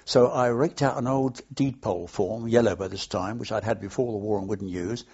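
A man's voice: on the low side, moderate pace, warm tone, husky, dull audio. An older man from London, reminiscing at length in an interview, rambling with many hesitations. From London, England. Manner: rambling, anecdotal, frequent hesitations. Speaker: oral history interviewee. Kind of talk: reminiscing, unscripted.

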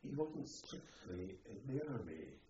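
A man's voice: sits low, very animated, mellow. Hesitant elderly man, dull echoey recording. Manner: hesitant, halting, reflective. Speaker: interviewee, former army officer. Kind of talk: oral history reminiscence. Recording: dull, noisy, echoey, distant microphone.

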